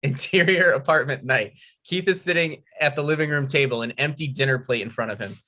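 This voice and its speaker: A man's voice: mid-range voice, fairly quick, sharp tone. A man reading out scripted stage directions as narrator at a comedy table read.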